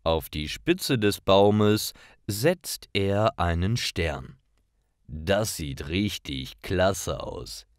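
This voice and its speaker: Slow, deep-voiced male story narrator. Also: animated, dry recording, clean studio sound. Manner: careful, clearly phrased read-aloud storytelling. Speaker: narrator of German learner stories. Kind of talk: scripted story narration for language learners.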